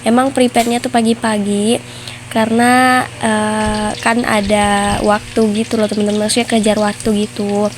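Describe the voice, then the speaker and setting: A woman's voice: medium pitch, little pitch movement, normal speed, noisy background. A young woman with a nasal voice, congested from flu, chatting casually to viewers in a homemaker's vlog voice-over. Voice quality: nasal, stuffy. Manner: chatty, conversational, addresses viewers as friends. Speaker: homemaker vlogger.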